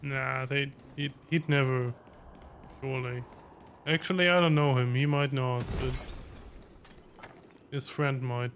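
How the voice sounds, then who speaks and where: A man's voice: mid-range voice, steady tone, slow, long pauses, a bit bright, slight background noise. A man giving casual game reaction commentary in muttered, wry asides. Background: game music.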